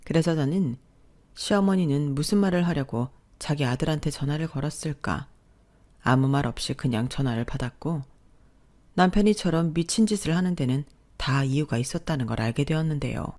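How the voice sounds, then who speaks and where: A woman's voice: deep voice, brisk, a little mellow. A woman narrating a first-person family drama in an indignant, confiding tone. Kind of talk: scripted first-person storytelling.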